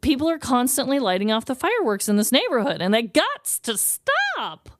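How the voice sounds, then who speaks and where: A woman's voice: high-pitched, wildly swooping pitch, moderate pace, no echo, studio quality. A woman co-hosting a podcast, telling a story in casual, slangy, emphatic chat with a comedic slant. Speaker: podcast co-host.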